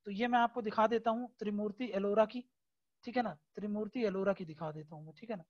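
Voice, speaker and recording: A male teacher talks through an online lesson in a patient, explanatory way, pausing on fillers and repeatedly asking whether the point is clear. The recording sounds somewhat dull and lo-fi. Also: sits high. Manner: keeps checking understanding with tag questions; frequent hesitation fillers, explanatory and repetitive. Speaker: exam-prep teacher. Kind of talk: online lecture over a screen recording.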